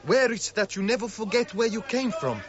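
A man's voice: high voice, big pitch swings, brisk, muffled recording, a crowd in the background. An actor playing an eager, proud Cretan workman in a radio drama, speaking simple, careful English with a Greek accent. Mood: proud, reminiscing.

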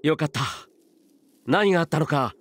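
An earnest, considerate young warrior from an anime game, played by a man. His voice sits slightly high, and he speaks with courteous, polite phrasing. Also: normal speed, lightly acted. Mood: concerned.

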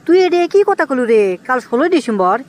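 Man voicing a high-pitched, rapid-fire village schemer. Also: very animated, a little hiss or hum. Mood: excitable. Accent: Bogura, northern Bangladesh. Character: scheming, boastful young village man, pleading and excitable. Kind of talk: comic cartoon dialogue in rural dialect.

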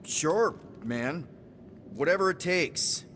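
A man's voice: a little high, lively intonation, slow pace, fairly bright tone, slight background noise. A man playing a young, exasperated space-outlaw leader in a video game, talking in a casual, wry way. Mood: earnest. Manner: informal, wry. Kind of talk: conversational video game acting.

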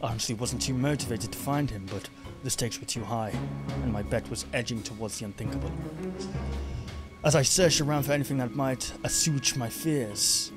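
A rain-soaked crime game's narrator, a haunted detective acted by a man brooding in measured lines over the soundtrack. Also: medium pitch, loud music behind the voice, natural voice. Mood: uneasy, haunted. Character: brooding, haunted noir male detective, self-doubting monster.

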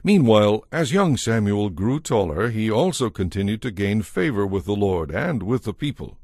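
Low-pitched male Bible narrator. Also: sing-song, moderate pace, a little mellow, dry recording, studio quality. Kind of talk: scripted dramatized Bible narration.

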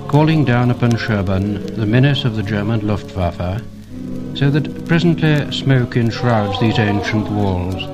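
Low-pitched, solemn British male narrator. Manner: solemn, measured, literary delivery. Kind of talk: 1950 scripted commemorative record narration. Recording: vintage 1950 LP recording.

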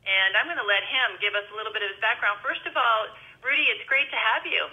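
A woman's voice: on the high side, fast talker, bright, forceful tone, muffled recording. A woman hosting a radio interview show, upbeat and friendly, speaking into a headset mic. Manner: upbeat, prays on air.

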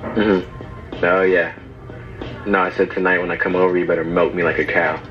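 Low-voiced young man, lo-fi sound. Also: little pitch movement, moderate pace. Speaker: prankster. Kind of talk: staged loud fake phone call. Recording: dull lo-fi playback with music behind. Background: music.

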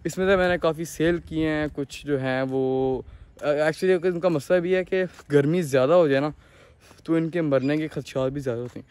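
A young man with a Pakistani accent, talking casually and haltingly to viewers as a farm-owning vlogger, outdoors on a phone. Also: fairly high pitch, darkish tone, clear, resonant tone. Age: young adult. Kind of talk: casual vlog tour, explaining to viewers. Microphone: handheld phone at arm's length.

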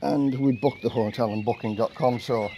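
An older man with an English accent chatting casually while washing his car, in a monotone voice and a rambling storytelling manner. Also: mid-range voice, moderate pace, slight background noise. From England. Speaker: vlogger. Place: outdoor carport of a house. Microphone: camera mic from a few meters away. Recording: outdoor camera audio with birdsong. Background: birds and rural outdoor ambience.

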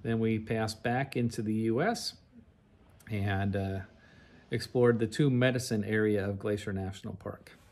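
A man's voice: lowish voice, unhurried, a little mellow. A middle-aged man narrating a travel slide show in a conversational, orderly and detailed way. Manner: recounts in an orderly, chronological, detailed way.

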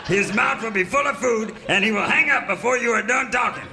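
A man playing a folksy, excitable old cowboy pitchman in a parody radio commercial. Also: brisk, heavy background noise. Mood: excited hard sell. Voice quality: creaky.

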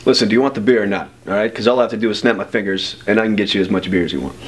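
Young guy acting shady stranger with magic deals, lowish voice, smug and slangy, bit of noise, amateur film. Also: racing, lightly acted. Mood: persuasive, cocky.

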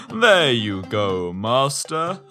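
A grand, jovial genie of children's cartoons, speaks extremely slowly in a bright, forceful voice. He swoops up and down through hearty laughs and stately pronouncements, with music behind him. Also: medium pitch, exaggerated voice. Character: booming jovial male genie, grateful and grand.

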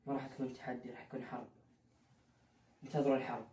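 A young man, reciting Quran in a melodic chant and talking quickly on the phone in a living room. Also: medium pitch, little pitch movement, dark tone, a little hiss or hum. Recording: echoey, distant, muffled camera audio.

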